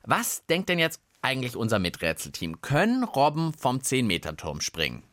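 Male podcast host, very animated intonation, playful, inquisitive, dry close sound, children's science show. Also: mid-range voice, normal speed, dry recording. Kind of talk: lively scripted banter for a children's science podcast.

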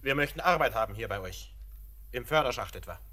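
An actor playing a cocky, needling blacksmith looking for work in a classic radio play. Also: male voice, medium pitch, lively intonation, a little fast, pushed and bright.

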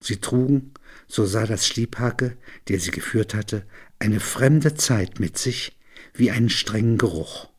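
A man narrating literary prose and reflecting on it in a measured, thoughtful manner. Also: lowish voice, husky.

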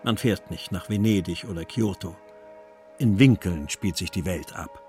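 A man's voice: low-pitched, normal speed, darkish tone, faint music behind. A man reciting literary texts from a script. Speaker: reader of poems and letters.

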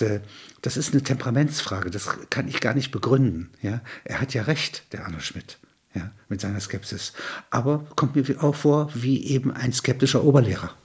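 An older man with a husky voice, speaking reflectively with frequent questioning tags. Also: on the low side. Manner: discursive, punctuated with questioning tags. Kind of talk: reading prose and reflective conversation.

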